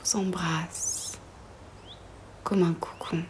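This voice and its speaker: Very slow, soft, breathy woman guiding meditation. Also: fairly low pitch, flat delivery. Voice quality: soft, breathy, often half-whispered. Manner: soothing, gentle, long pauses between phrases. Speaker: yoga nidra guide.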